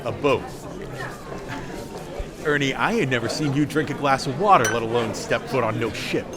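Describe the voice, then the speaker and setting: Man playing old bartender, folksy, hesitant, kindly worrier, mid-pitched, audio drama, loud busy bar crowd. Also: music in the background, close to an everyday voice. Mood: worried. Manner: hesitant, folksy drawn-out talk.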